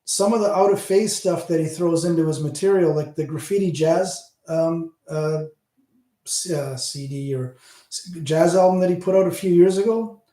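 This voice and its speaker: A man's voice: fairly high pitch, normal speed, mellow. A middle-aged man with a Southern Ontario Canadian accent, hosting a casual livestream chat, rambling and answering his viewers. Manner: rambling, talks back to chat, trails off. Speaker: channel host. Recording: roomy echo.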